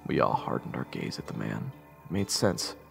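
A man playing a grim, watchful adventurer who narrates a fantasy audio drama gravely and deliberately. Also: very deep voice, very animated, full character voice. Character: grim, watchful male fantasy adventurer telling his tale. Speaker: narrator and character. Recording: music playing behind. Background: background music.

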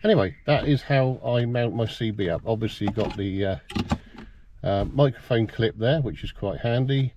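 A man's voice: lowish voice, moderate pace, lo-fi sound, noisy background. A middle-aged man giving a casual, plain-spoken how-to demonstration. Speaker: presenter of a car DIY video. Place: outdoors in a yard and inside a parked car.

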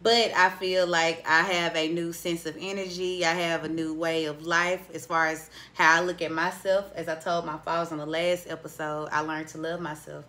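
A woman in her late twenties hosting a casual podcast interview, chatty and expressive, playfully teasing her guest, recorded on a studio mic in a small room. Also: low voice, normal speed, few pauses. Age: 20s. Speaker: podcast host. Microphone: studio mic on boom arm.

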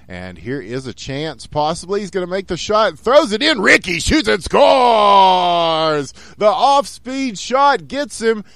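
Excitable Texan man calling a hockey game. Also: high voice, slow, vocal fry, few pauses, no echo. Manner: excitable, rising to shouts on goals. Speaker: esports caster. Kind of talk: play-by-play commentary.

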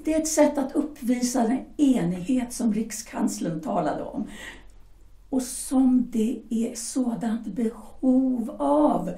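A woman's voice: on the high side, animated, dark tone, recorded from a distance. A woman playing a pragmatic young German mother who insistently coaxes her husband. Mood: persuasive. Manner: coaxing, insistent, brushes off doubts. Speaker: shipyard worker's wife. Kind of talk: amateur audio drama acting. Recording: echoey room, voice set back from mic.

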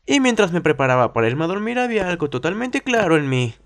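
A man's voice: on the high side, sing-song, fast talker. A man voicing the nervous lead boy in a comedy fan dub, stammering and flustered. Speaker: voice actor dubbing the lead.

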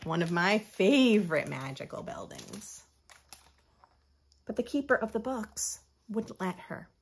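An American woman in her thirties reading a picture book aloud with expressive, teacherly asides to young children. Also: mid-range voice, a little slow, gravelly, husky. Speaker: children's story host. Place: small furnished room at home.

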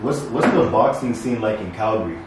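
A man's voice: on the low side, lively intonation. Male podcast host in an echoey room. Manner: short questions and quick affirmations. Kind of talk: casual interview. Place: kitchen table in a home room. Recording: echoey room from a distance with noise.

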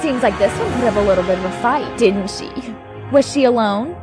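Woman as a haughty high-voiced pony villain princess. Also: animated, brisk, music in the background, lightly acted. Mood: smug. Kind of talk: fan animated audio drama acting.

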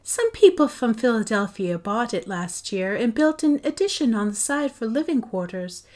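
Brief: woman reader doing an upbeat artsy mom, coaxing and bright, higher voice with lively swings in pitch. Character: cheerful dreamy artist mother, woman.

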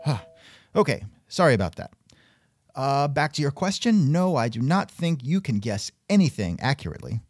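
A man's voice: medium pitch, big pitch swings, moderate pace. A man hosting a scripted comedic interview show, sardonic and increasingly exasperated. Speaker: podcast host.

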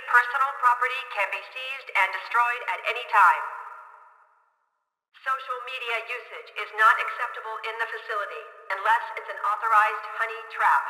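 A woman playing a calm, officious spy-agency facility announcer in a video game, deadpan and clipped over a public-address system. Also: fairly high pitch. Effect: public-address system reverb. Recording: reverberant facility tannoy, long echo.

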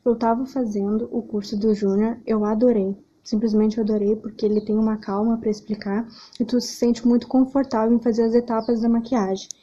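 A woman's voice: a little high, little pitch movement, a little fast, dark tone. Southern woman, muffled phone audio. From Charqueadas, southern Brazil. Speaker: course student giving a testimonial. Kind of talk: selfie testimonial. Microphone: phone at arm's length.

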